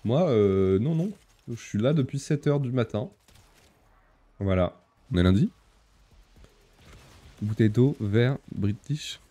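A man's voice: mid-range voice, expressive, takes it slowly, long pauses, dark tone. A man in his thirties chatting casually while streaming a game, talking with lots of hesitant fillers and reading chat aloud. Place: small room with purple lighting.

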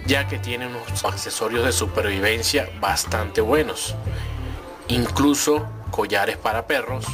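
A man in his thirties presents survival gear while standing in a forest. His mid-range voice stays clear and explanatory, and he is filmed on a camera held at arm's length. Background music plays steadily under his voice. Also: mid-range voice. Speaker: survival gear channel host. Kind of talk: product review vlog. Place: outdoors in a forest.

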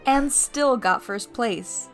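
A woman's voice: fairly high pitch, takes it slowly, fairly bright tone. A woman voicing a teasing, gossipy teenage schoolgirl in a manga dub, knowing and matter-of-fact. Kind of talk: manga fandub acting. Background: music.

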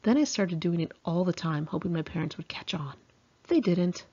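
A woman's voice: lowish voice, animated, normal speed, dark tone, husky, dull audio. An American woman reading her own memoir in a conversational, candid and wry, self-mocking way. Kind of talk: scripted audiobook narration, conversational.

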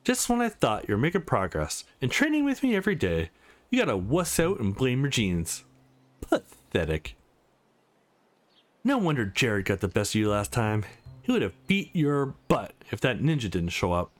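A man's voice: mid-range voice, huge pitch swings, normal speed. A man voicing a brash young female sparring partner in a visual novel, blunt and goading. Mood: taunting. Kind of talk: visual novel dialogue read aloud.

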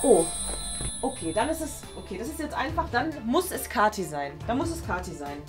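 High, lively young woman thinking aloud. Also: a little fast. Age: young adult. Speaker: contestant in a guessing game. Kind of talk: casual, playful game chat. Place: indoor studio room. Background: music.

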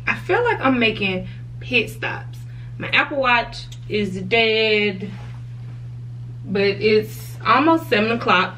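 A woman's voice: medium pitch, sharp tone, recorded from a distance, slight background noise, low-quality recording. A young woman, talking frankly to camera in a hotel room, laughing often. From Southern US. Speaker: vlogger. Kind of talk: casual chat to camera.

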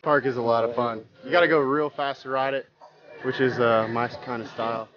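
A young male rider chatting casually and excitedly to camera. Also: medium pitch, fairly quick, crowd noise. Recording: dull distant camera mic with hiss.

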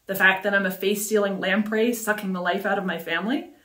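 A woman's voice: medium pitch, fairly even intonation, quick pace, fairly bright tone, far from the mic, natural voice. A fierce young farm girl, scared yet stubbornly defiant, read aloud by a woman. The voice sits in a roomy, echoing space. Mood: anguished. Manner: defiant, emotional. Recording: roomy echo.

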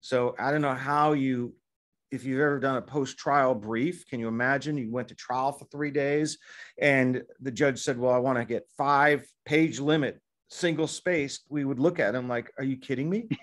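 A man in his fifties co-hosting a casual sports law podcast from a hotel room, talking in a chatty, digressive way and getting animated when he jokes. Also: mid-range voice, moderate pace. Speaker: podcast co-host, sports lawyer. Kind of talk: casual legal podcast chat. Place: hotel room in Midtown Manhattan. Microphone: laptop webcam video call.

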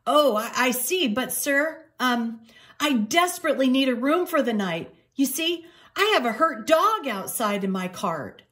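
A woman voicing a polite, kindly male cat farmer in a storybook, speaking gently and earnestly. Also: high voice, lively intonation, a little slow, pitched above the performer's own voice. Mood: earnest pleading.

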